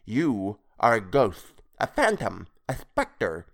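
A man's voice: lowish voice, wildly swooping pitch, slow, creaky, a bit bright, exaggerated voice. A man voicing a flabby, sinister toad-faced sea creature in an audiobook, mocking, gloating and theatrical. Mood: mocking glee. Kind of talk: dramatised audiobook character voice.

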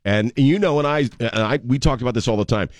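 A man co-hosting a political talk radio show, congested and sounding lower than usual, agreeing readily with his partner. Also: dry recording. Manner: agreeable, quick to chime in.

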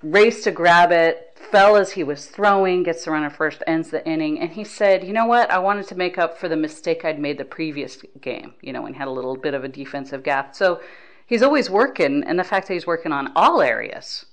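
Low-voiced American woman hosting a sports podcast. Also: a little fast. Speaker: podcast host, baseball beat writer. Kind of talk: conversational sports interview.

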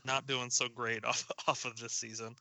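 Bright-voiced man in his thirties, wry co-host. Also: medium pitch, flat delivery, moderate pace, gravelly, no room sound. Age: 30s. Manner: wry, joking asides. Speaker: podcast co-host. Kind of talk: casual podcast chat.

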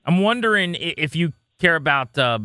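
A man's voice: a little high, animated, brisk, pushed and bright, clear, resonant tone, dry recording, studio quality. A man co-hosting a sports radio show, putting questions to a guest in casual, conversational language. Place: close dry radio studio.

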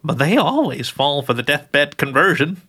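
Man putting on a high old witch lady, gushing, doting, sly, lively swoops. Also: moderate pace, breathy edge, full character voice. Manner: gushing, calls everyone dearie.

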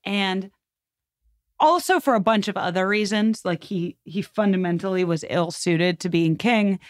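A woman speaking as a podcast guest, chatting casually about history in an animated, colloquial way full of "like" and acted-out asides. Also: mid-range voice, unhurried, no room sound, studio quality. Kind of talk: casual conversational commentary on history.

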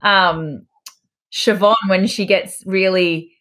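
A woman's voice: medium pitch, steady tone, slow pace, a bit bright. An Australian woman chatting casually as a podcast co-host. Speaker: podcast co-host, dental hygienist and educator.

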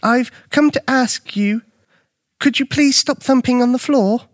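A man's voice: very high-pitched, a little slow, dry recording, studio quality, pitched above the performer's own voice. A man voicing a nervous, earnest young boy in a children's story, speaking politely and a little hesitantly. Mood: earnest pleading.

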